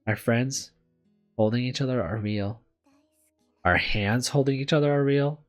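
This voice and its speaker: A video game streamer talks through a visual novel in a medium-low, androgynous voice. The delivery moves between reading aloud and casual, candid commentary, self-deprecating and unafraid to swear. Gentle guitar music plays behind the voice. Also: unhurried.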